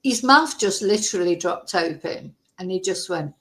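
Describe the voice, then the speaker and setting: A woman from Manchester with a softened Mancunian accent, hosting a casual true-crime livestream, chatty and rambling, greeting viewers by name. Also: fairly low pitch, animated, moderate pace. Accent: softened Mancunian English. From Manchester, England.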